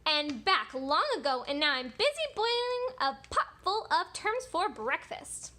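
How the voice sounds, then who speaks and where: Clever little storybook pig, done by a woman: chirpy, boastful, high-pitched, wildly animated. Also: unhurried, exaggerated voice. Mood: cheerful.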